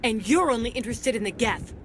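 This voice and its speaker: An actress playing a jaded, resentful security officer in a video game, terse and sardonic. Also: female voice, fairly high pitch, expressive, brisk, slight background noise, natural voice. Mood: bitter, guarded.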